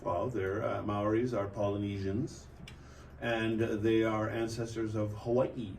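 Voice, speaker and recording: A man in his fifties from New Zealand, a band drummer, telling music trivia in a relaxed, rambling way with frequent filler pauses. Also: lowish voice, slight background noise. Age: 50s. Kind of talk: casual storytelling chat.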